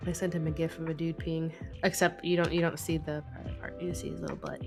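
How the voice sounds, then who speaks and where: A woman's voice: sits low, little pitch movement, fast, music in the background. Chatty sniffly woman streamer. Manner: chatty, laughing, swears freely. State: sniffly from a head cold. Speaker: live stream host. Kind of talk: casual chat while drawing.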